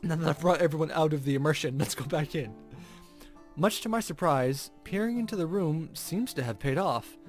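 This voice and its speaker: A man's voice: fairly high pitch, moderate pace. Man reading a visual novel over faint music. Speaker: gaming video host. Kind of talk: reading a visual novel aloud with casual commentary.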